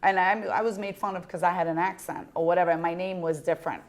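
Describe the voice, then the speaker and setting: Brash woman podcast host, lowish voice. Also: fast talker. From United States. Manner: animated storytelling, swearing, laughing. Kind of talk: casual interview chat.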